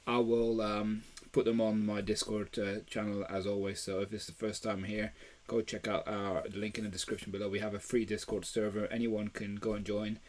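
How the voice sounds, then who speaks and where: A man's voice: on the low side, little pitch movement, normal speed, darkish tone. A man from Belgium hosting a live stream about toy deals, talking casually with plenty of fillers and restarts. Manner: chatty, many fillers and restarts.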